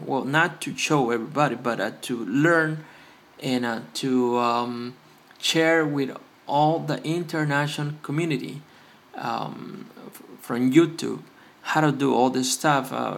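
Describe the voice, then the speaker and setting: A man with as his talks casually to viewers through a hands-on tutorial. His English is halting and full of hesitations, delivered modestly at a middling pitch. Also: vocal fry. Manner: hesitant, halting, self-effacing non-native English. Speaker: repair tutorial host.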